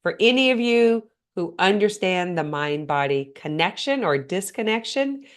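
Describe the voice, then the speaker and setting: A woman hosting a motivational podcast on her own, speaking earnestly and often putting questions straight to the listener, her voice a little hoarse and stuffed up. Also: on the low side, animated, normal speed. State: slightly hoarse, congested. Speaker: podcast host. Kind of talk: motivational solo talk.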